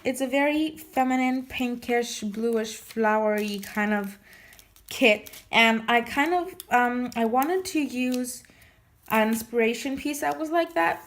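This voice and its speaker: Chatty woman, slightly hoarse voice. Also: on the high side. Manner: rambling, many restarts and fillers. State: recovering from lost voice, slightly hoarse. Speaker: scrapbooking vlogger. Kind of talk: casual show-and-tell chat.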